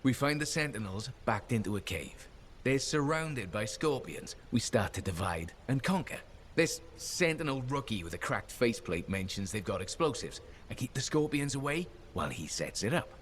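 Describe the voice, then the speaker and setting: A man's voice: medium pitch, big pitch swings, moderate pace, slight background noise, close to an everyday voice. An actor playing a friendly, chatty male mercenary in a video game, telling stories in an upbeat way. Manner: upbeat, storytelling.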